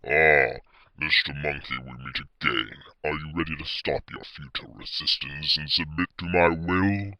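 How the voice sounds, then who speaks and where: A man voicing a pompous, melodramatic evil-genius baby pony with a booming villain's voice in a story reading. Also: moderate pace, pushed and bright, no echo, full character voice. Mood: menacing. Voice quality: very deep, booming voice.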